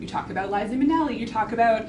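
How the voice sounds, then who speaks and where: A woman's voice: on the high side, rapid-fire, darkish tone. A woman interviewing a guest in a casual, friendly way. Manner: friendly, giggly. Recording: noisy, distant mic.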